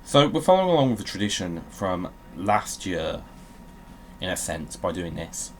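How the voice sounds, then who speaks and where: A man's voice: low-pitched, big pitch swings, moderate pace. A man hosting a casual gaming let's-play, grumbling drily and mocking himself. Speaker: video game show host. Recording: clear background noise.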